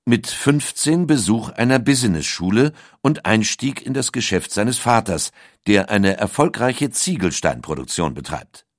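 A man reading a scripted documentary audiobook in a measured, articulate storytelling manner. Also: fairly low pitch, sing-song, dry recording, studio quality. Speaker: narrator.

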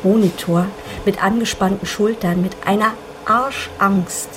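A woman's voice: mid-range voice, steady tone, a little slow. A woman who works as a radio director, telling anecdotes candidly in conversation. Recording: clearly noisy ambient background.

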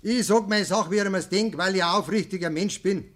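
An actor playing a self-righteous, wheedling village mayor in a rural radio play, speaking Bavarian dialect in an insistent, folksy, self-justifying way. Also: male voice, sits high, brisk, fairly bright tone.

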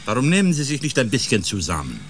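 Casting: reasonable crew mediator, sci-fi radio drama, medium pitch, animated and earnest. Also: male voice, fast. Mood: pleading, reasonable. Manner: appealing, earnest. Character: reasonable, conciliatory male space crew member. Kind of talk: German radio drama acting.

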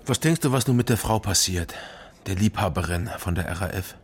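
A man's voice: low-pitched, expressive, fairly quick, slight background noise. A man acting in a radio drama as a laconic, skeptical East German private detective who asks terse, dry questions. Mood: curious, wry.

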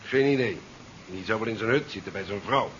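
An actor playing a curt, sardonic ship commander in a 1970s science fiction radio play. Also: male voice, lowish voice, brisk, fairly bright tone, lightly acted. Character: curt, sardonic male ship commander worried about career. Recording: muffled 1970s radio drama recording, faint noise.

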